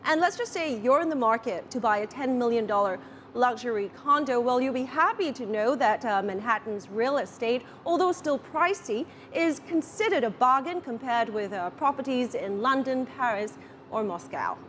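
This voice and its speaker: Woman doing biz news reports, kinda high voice, polished and punchy, bit of music or noise behind. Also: animated, normal speed, a bit bright. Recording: music under voice, some location noise.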